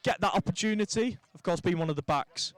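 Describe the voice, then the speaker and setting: A man's voice: high-pitched, quick pace, no echo. Young man commentating rugby. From St Helens, England. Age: young adult. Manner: lively play-by-play with chatty asides. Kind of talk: live sports commentary.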